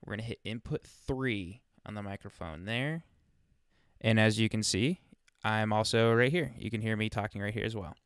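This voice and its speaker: A man with a clear, fairly low voice guides listeners through software steps in a casual, chatty way. He thinks aloud as he demonstrates. The sound is close and dry, as from a USB microphone right by him. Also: moderate pace, dry recording. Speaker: tutorial presenter. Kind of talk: casual screen-recorded software walkthrough.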